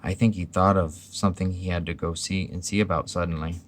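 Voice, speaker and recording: A man's voice: low voice, fairly even intonation, fairly quick, a little hiss or hum, close to an everyday voice. A man voicing a simple, mild, wistful toy bear from a storybook, in a musing manner. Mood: mild, vague. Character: slow-witted mild male toy bear, plain, wistful.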